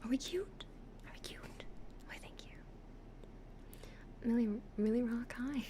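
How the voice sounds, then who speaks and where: A young Canadian woman from the West Coast with an accent close to Californian, whispering softly and chatting as an ASMR streamer on a close microphone. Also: a little high, a little slow. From western Canada. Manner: soft whispering, chatty, laughs often.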